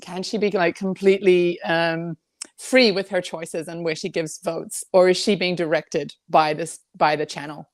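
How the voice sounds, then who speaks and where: A woman chatting casually over a video call, opinionated and quick to laugh, with many fillers. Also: on the low side, fairly even intonation, no room sound, studio quality. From Germany. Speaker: co-host guest commentator.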